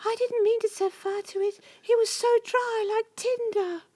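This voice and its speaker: A woman's voice: very high-pitched, fairly even intonation, normal speed, a little mellow, dry recording, voice pushed up. A woman voicing a frightened young servant girl, pleading melodramatically in a comic audio drama.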